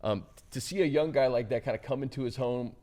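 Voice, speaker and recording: A man with a medium-pitched voice hosts a sports talk show. He introduces segments and tosses questions to his panel in a casual, conversational style. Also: fast, warm tone. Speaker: host.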